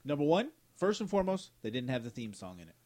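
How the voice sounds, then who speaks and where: A man in casual conversation, animated and incredulous as he argues about a movie. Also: medium pitch, fast talker, crowd noise. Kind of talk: casual podcast chat.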